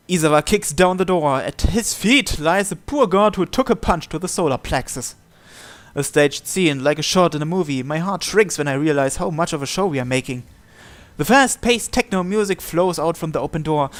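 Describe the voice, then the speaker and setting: A man reading visual novel narration and dialogue aloud. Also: fairly high pitch.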